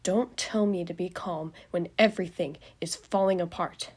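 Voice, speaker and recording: A woman voicing an anxious young man who spirals into panicked questions and angry shouting, her voice husky. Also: on the low side, fairly even intonation, normal speed, natural voice.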